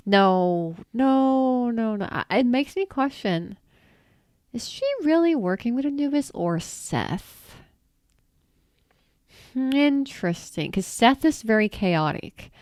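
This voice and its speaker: A woman's voice: mid-range voice, smooth, dry recording, studio quality. A woman hosting her own channel, reading viewer comments aloud and reacting with laughs, exclamations and mild swearing. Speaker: channel host answering viewer questions.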